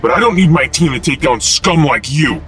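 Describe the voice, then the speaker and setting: A man's voice: on the high side, animated, normal speed, a bit bright, faint music behind. A man playing a tough, righteous young superhero vigilante in an audio drama, taunting and menacing. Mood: grim, taunting.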